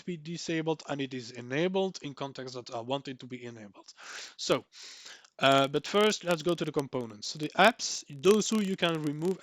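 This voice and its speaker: A man's voice: fairly high pitch, fairly bright tone, dry recording, clean studio sound. Belgian man rambling through a tech tutorial. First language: Dutch. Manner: rambling, repeats words for emphasis, frequent ums and restarts. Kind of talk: screen-recorded software tutorial.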